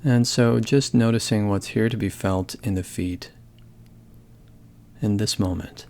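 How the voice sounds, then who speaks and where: A man with a lowish voice leads a guided meditation. His delivery is calm and gentle. He speaks in measured phrases, with long silences between them. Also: creaky, slight background noise. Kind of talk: guided body scan meditation.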